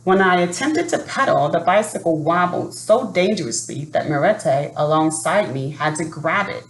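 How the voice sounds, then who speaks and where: A woman reading a children's picture book aloud in an expressive, unhurried manner. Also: fairly low pitch. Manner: expressive, unhurried, adds asides to listeners. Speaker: storybook reader. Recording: roomy echo, faint background noise.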